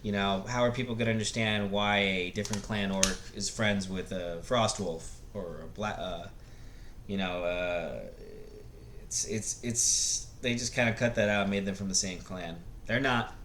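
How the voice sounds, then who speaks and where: Male video host, lowish voice, off-the-cuff and halting, roomy echo with faint noise. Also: steady tone, fairly bright tone. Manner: halting, searching for words. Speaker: video host reviewing movies. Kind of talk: casual unscripted movie commentary. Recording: echoey room, faint background noise.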